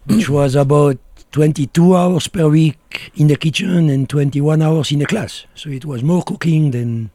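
Middle-aged French man with a mellow voice. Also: on the high side, unhurried, no echo. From France. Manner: blunt, short broken phrases. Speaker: guest. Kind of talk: casual interview chat.

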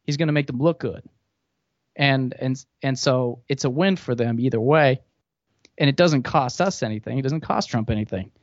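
A man's voice: mid-range voice, moderate pace, no room sound. A man who grew up in Thailand, a podcast guest, talking politics casually and rambling with many restarts. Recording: dull lo-fi, dry sound.

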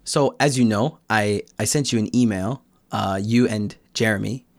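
A man's voice: lowish voice, moderate pace, no room sound. A young American man talking reflectively as a podcast guest, explaining his thoughts in a casual conversational way. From United States. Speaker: podcast guest, Korean learner.

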